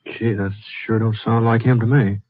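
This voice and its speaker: An actor with an American accent playing an easygoing, courteous cowboy gunfighter in a radio western, with a folksy, hesitant, stammering delivery. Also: male voice, on the low side, steady tone, normal speed, no echo, close to an everyday voice. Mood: casual, curious. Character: easygoing, soft-hearted drifting cowboy gunfighter, courteous to ladies. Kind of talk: old-time radio western drama acting. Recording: muffled, thin vintage radio recording.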